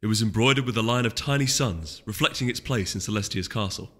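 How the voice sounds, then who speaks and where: A man's voice: on the low side, quick pace, fairly bright tone. A man narrating a scripted story as an audiobook reader. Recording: echoey hall reverb, music behind.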